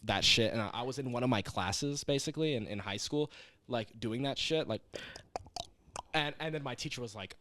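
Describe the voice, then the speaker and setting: A man's voice: mid-range voice, moderate pace, pushed and bright, a little hiss or hum. A young adult man co-hosting a casual comedy podcast, swearing often, stumbling over his words and poking fun at himself. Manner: profane, stammering, self-deprecating. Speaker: podcast co-host.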